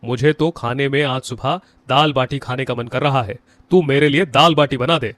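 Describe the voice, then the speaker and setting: A man's voice: medium pitch, normal speed, fairly bright tone, no echo, lightly acted. A man playing a demanding, teasing family man with a mock-complaining manner in a moral story. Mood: mild complaint. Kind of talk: moral-story character acting.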